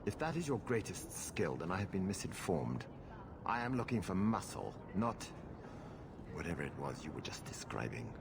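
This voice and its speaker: A man's voice: sits low, very animated, normal speed, vocal fry, noisy background, exaggerated voice. A man playing a haughty, humorless magistrate with a formal, imperious manner in a video game. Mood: stern, imperious. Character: haughty, stern male magistrate, curt and humorless. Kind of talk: video game dialogue acting.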